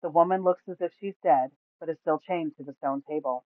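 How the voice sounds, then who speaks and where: A woman's voice: low voice, brisk, dry recording. A woman reading scripted fantasy story narration from a tabletop campaign. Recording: thin, phone-like, dry sound.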